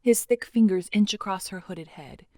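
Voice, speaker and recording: A woman's voice: medium pitch, moderate pace, dark tone, no room sound, clean studio sound. A woman narrating an audio drama as scripted, dramatic storytelling.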